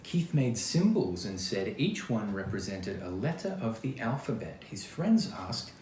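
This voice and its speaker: Australian male, lowish voice, expressive children's storytime read-aloud with chatty asides. Roomy sound with background noise. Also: unhurried. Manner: expressive, chatty, addressing young listeners directly. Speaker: actor reading a children's book aloud.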